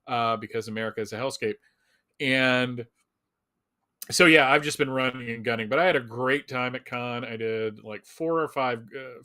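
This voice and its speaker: Guy co-hosting a live fan chat, sharp bright voice, casual and jokey, wry about himself. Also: mid-range voice. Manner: self-deprecating, wry humor. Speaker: live show co-host.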